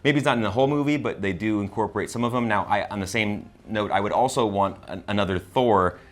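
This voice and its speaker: A man's voice: fairly low pitch, quick pace. A man co-hosting a film review in casual chat, enthusiastic and emphatic. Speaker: co-host of a film review show.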